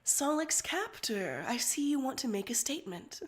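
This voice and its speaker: A woman's voice: high-pitched, big pitch swings, normal speed. A woman voicing a dry, commanding female head archivist who speaks in a curt, knowing way for a story reading. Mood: coolly polite.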